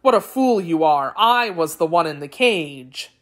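A woman's voice: on the low side, lively intonation, unhurried. A woman voicing a proud, impatient male tiger in a storybook, blustering and domineering. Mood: scornful. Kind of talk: storybook character voice.

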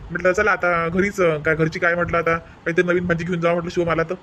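A man acts out an easygoing, teasing husband from a family cartoon. He talks quickly in a very high voice for a man. Faint noise sits behind him. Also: flat delivery, pushed and bright. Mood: explaining. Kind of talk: animated family comedy dialogue.

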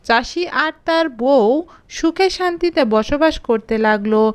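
A woman's voice: high voice, lively intonation, slow, clear, resonant tone, dry recording. A woman reading a scripted children's fairy tale as the narrator of a cartoon story.

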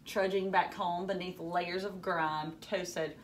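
A woman in her thirties giving an animated, dramatic picture-book read-aloud with teacherly asides in a room at home. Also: lowish voice, measured pace.

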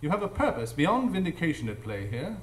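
Man acting as probing older detective, gentlemanly, animated pitch, formal, radio play, echoey live stage. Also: brisk, a little hiss or hum. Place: live stage before an audience.

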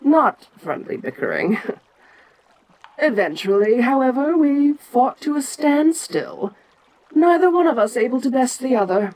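A woman playing an ancient, imperious giant monster queen in an audio roleplay, speaking in a regal, deliberate and musing manner with frequent pauses. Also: high voice, sing-song, darkish tone, heavily acted, rough recording. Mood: reflective, proud. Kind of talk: slow in-character audio roleplay monologue.

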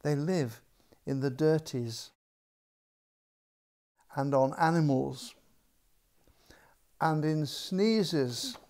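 Older man as bossy storybook maid, drawn-out delivery. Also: fairly high pitch, a little mellow. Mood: warning. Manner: emphatic, drawn-out warnings. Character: bossy, warning maid, a grown woman.

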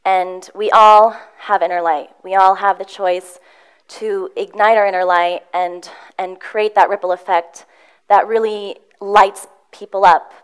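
A woman's voice: medium pitch, clear, resonant tone. A young woman from Switzerland giving an earnest, heartfelt motivational talk on a conference stage, full of ums and restarts. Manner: earnest, warm storytelling with frequent ums and restarts. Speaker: motivational speaker. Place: conference room with audience.

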